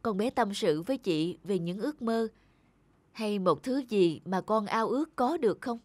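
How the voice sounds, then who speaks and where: A woman voicing a caring, polite schoolteacher in a cartoon, speaking in a gentle, coaxing way. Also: mid-range voice, lively intonation, normal speed, no echo, lightly acted. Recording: clear background music.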